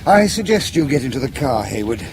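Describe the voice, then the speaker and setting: An actor playing a suave, ruthless British intelligence chief in a radio drama, urbane and dryly ironic. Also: male voice, mid-range voice, big pitch swings, a little fast, noisy background. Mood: smug, urbane.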